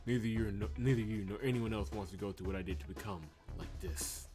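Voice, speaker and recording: A man's voice: fairly low pitch, fairly even intonation, quick pace, lightly acted. A man reading the lines of a guarded, menacing vigilante from the slums in a visual novel, with music behind.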